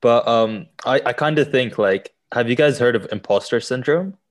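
A man's voice: medium pitch, moderate pace. A young university student who grew up in Malaysia, speaking frankly about his feelings in an easygoing casual chat over a video call. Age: young adult.

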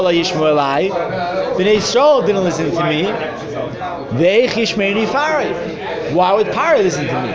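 Male Torah teacher, high voice, walks students through a text with questions; lo-fi, noisy room. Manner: explains step by step with rhetorical questions. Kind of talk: informal Torah class. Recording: dull lo-fi recording with loud crowd.

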